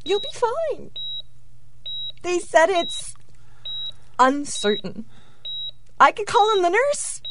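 Amid beeps and background noise, a worried wife in an audio drama. Her high voice moves around a lot in pitch. She is fretful and nagging, yet keen to reassure. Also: wildly swooping pitch, slow, long pauses, a bit bright, full character voice. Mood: anxious, reassuring. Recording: noisy, with beeping hospital monitor. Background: electronic beeping, noise.

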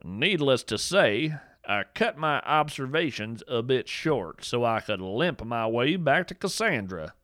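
A man tells a strange nature story in a scripted, playful way, with a wry edge to it. His pitch moves freely and with plenty of life. The recording is dry and close, with no room sound. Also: fairly bright tone, dry recording. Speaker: podcast narrator. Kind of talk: scripted whimsical nature narration.

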